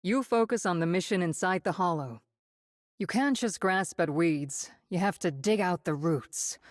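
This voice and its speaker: A woman playing a stern, composed colonel in a video game, speaking formally and with measured authority. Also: on the low side, no room sound, clean studio sound, lightly acted. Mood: calm, formal. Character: stern, composed female colonel commanding soldiers. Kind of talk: video game voice acting, military briefing.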